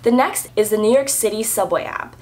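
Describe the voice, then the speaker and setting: Chatty young woman, flu-roughened voice. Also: mid-range voice, fairly quick, a little hiss or hum. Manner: chatty, upbeat, lively hand gestures. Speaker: vlogger. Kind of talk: casual explainer vlog. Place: indoor room with french doors.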